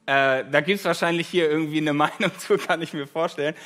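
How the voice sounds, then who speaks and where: A man's voice: a little high, fairly even intonation, a little fast, a bit bright. A German man in his thirties, a guest preacher giving a conversational sermon with light self-deprecating humor, in a church.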